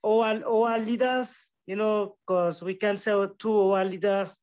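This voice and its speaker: Man, halting English, dull audio. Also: sits high, little pitch movement, a little mellow. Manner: halting, searching for English words, Jamaican turns of phrase. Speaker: guest musician. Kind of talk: casual conversational interview.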